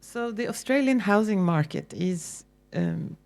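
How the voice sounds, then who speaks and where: Woman, Norwegian accent, lecturer, gravelly low voice, mellow tone, conversational with hesitations. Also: unhurried. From Norway. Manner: relaxed, conversational, with frequent ums and restarts. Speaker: university lecturer. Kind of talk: public lecture.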